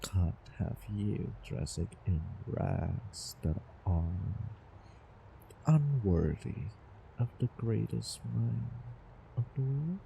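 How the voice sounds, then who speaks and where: A man's voice: lowish voice, big pitch swings, vocal fry, mellow, exaggerated voice, low-quality recording. A man playing an obsessively devoted, doting time-traveling lover in an intimate roleplay audio drama, coaxing the listener. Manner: slow, intimate, coaxing, over-the-top devotion. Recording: background ambience, light noise bed.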